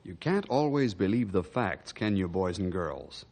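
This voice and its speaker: An actor playing an earnest sheriff and storyteller in old-time radio drama, speaking in a measured, dramatic, moralizing way. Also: male voice, sits low, expressive, darkish tone, soft background music. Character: earnest sheriff who narrates to young listeners.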